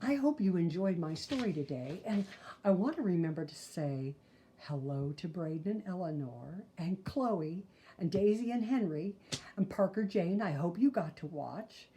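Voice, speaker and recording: An older woman reading a children's picture book aloud, phrasing deliberately and adding gentle asides for young listeners. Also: lowish voice, expressive, a little hiss or hum.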